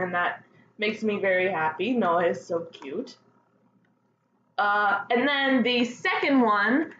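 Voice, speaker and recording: A woman hosting a fan news show solo, chatting casually with gushing, excitable enthusiasm. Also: on the high side. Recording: dull lo-fi sound, roomy echo, faint noise.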